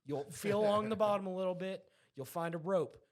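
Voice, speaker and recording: A man's voice: high voice, a little fast. A man chatting casually, retelling anecdotes in an enthusiastic, rambling way.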